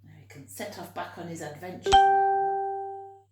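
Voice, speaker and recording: A woman reading a story to young children in an expressive storytelling style, with chatty asides. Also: fairly low pitch, a little slow, echoey room, over music.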